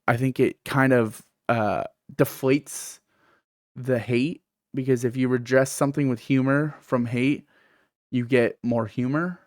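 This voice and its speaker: A man's voice: mid-range voice, dry recording, studio quality. A man with a lisp who says th as f, hosting a casual solo podcast in a candid, self-deprecating, rambling way. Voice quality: th pronounced as f. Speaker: podcast host and streamer.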